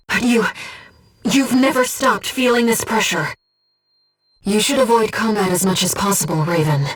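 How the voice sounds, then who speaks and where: A woman voicing a young, gentle, bodiless female spirit in a video game, soft-spoken and precise as she guides a mercenary through missions. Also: mid-range voice, husky, far from the mic. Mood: tender, solemn. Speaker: mission operator companion. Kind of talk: video game voice acting, tactical radio guidance.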